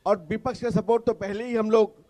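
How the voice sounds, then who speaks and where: A man's voice: sits high, fairly even intonation, fairly quick, warm tone. A man speaking as a ruling party spokesman in a political TV debate, combative and repeating his points. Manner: combative, repetitive, interjecting.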